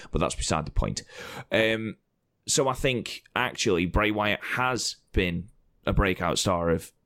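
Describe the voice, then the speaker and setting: A man co-hosting a podcast, hoarse with a croaky throat, blunt and opinionated and swearing freely as he argues his picks. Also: deep voice, fairly bright tone, no echo, clean studio sound. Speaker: podcast co-host presenting award results. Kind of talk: casual banter.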